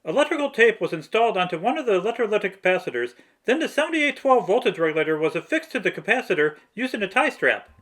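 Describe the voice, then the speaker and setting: Guy doing scripted how-to narration, pretty high voice for a man, pitch moves around a lot. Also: very animated, quick pace, few pauses, a bit bright. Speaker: narrator of a restoration tutorial. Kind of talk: scripted narration, step-by-step instruction.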